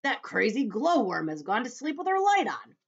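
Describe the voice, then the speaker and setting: A woman voicing a loud, bossy male centipede who shouts impatiently, in a dull lo-fi recording. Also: a little high, big pitch swings, moderate pace, creaky, breathy edge, voice pushed up, exaggerated voice. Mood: angry.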